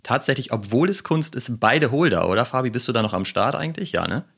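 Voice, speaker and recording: A man, co-hosting a casual podcast and reading the intro and disclaimer in an upbeat, relaxed way. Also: medium pitch, fast, creaky, breathy edge. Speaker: podcast co-host.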